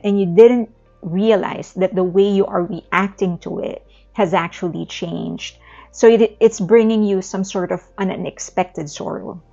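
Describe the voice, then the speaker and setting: Filipino-accented woman, gravelly, thoughtful explainer; slightly dull recording with faint music under it. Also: medium pitch, a little mellow. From Philippines. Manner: thoughtful, explanatory, with small restarts. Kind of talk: reflective video essay talk.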